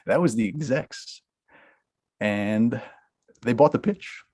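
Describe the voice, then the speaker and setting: A man's voice: medium pitch, extremely slow, darkish tone. A man reading a blunt, mocking Hollywood agent. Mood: gleeful. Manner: laughing, crude, mocking. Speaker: actor at a table read. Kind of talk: comedic table read.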